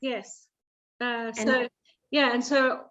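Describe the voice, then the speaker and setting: A woman's voice: on the high side, fairly even intonation, takes it slowly, a bit bright. A middle-aged woman, chatting casually and jovially as a guest cooking coach. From Zambia, later Australia. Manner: jovial, often chuckles. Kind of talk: casual chat, personal storytelling. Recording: dull lo-fi video call audio.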